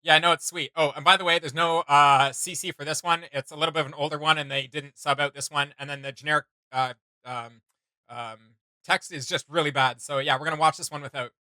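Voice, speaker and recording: A man's voice: on the high side, steady tone, fairly quick, bright, forceful tone, dry recording. A young man hosting a casual reaction chat, enthusiastic and slangy. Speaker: reaction video host.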